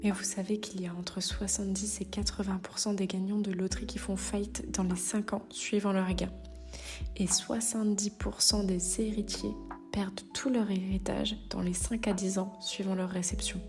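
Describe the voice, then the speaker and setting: A woman hosting a motivational podcast, speaking straight to the listener in a familiar, direct way with the odd hesitation. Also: mid-range voice. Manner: direct, addresses listener informally, occasional hesitations. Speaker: podcast host. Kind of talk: motivational solo podcast talk.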